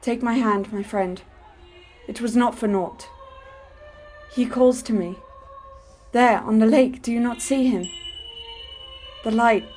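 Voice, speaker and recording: A devout young man who yearns for a holy vision, played by a woman. His voice sits fairly high and moves slowly and deliberately, with earnest, old-fashioned phrasing. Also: darkish tone, faint music behind. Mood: rapturous. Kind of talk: archaic dramatic dialogue.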